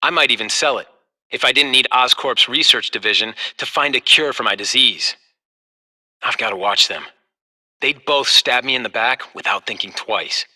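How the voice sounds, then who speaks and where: Tense, embittered corporate heir. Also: male voice, mid-range voice, normal speed, sharp tone. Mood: bitter, wary. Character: embattled, distrustful young heir running a corporation. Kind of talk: dramatic video game monologue.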